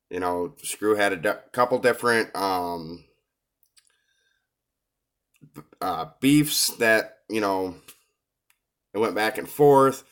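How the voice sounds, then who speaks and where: A low-voiced man coming down with a cold talks through his take on a rap track. He pauses often and fills the gaps with hesitant ums. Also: fairly bright tone. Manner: hesitant, many filler pauses. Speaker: reaction video host. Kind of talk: casual commentary on a rap song.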